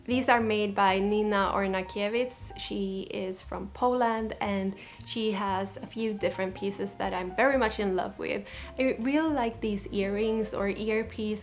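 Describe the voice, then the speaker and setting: Chatty woman vlogging. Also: medium pitch. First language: Swedish. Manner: enthusiastic, chatty, with frequent restarts. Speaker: fashion vlogger. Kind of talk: casual chat to camera.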